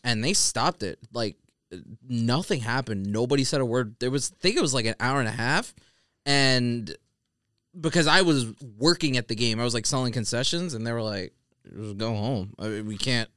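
A man's voice: medium pitch, moderate pace, a bit bright, smooth, no room sound, clean studio sound. An American man in casual podcast chat, recounting and reasoning as a co-host.

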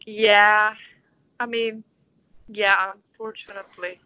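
Bright-voiced young Turkish woman, thoughtful. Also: mid-range voice, level tone, takes it slowly, no echo, lo-fi sound. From Istanbul, Turkey. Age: 20s. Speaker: interview guest, orchestral conductor. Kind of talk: reflective conversational interview answers.

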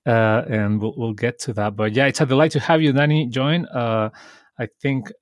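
A man's voice: mid-range voice, normal speed, no echo. A man from Chile, hosting a podcast and telling stories in a reflective, conversational way. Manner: reflective, storytelling, lightly laughing. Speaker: podcast host.

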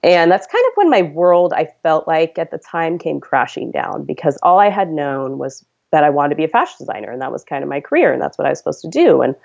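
Woman, 30s, low pitch, gravelly, earnest chatty storytelling, podcast guest. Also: sing-song, fast talker, few pauses, a little mellow, lo-fi sound. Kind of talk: conversational storytelling.

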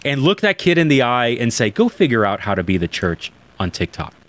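Emphatic forty-something man, lively voice. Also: medium pitch, fairly quick. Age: 40s. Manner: emphatic, preacherly, addresses listeners directly. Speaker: church planting guest. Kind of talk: conversational podcast talk.

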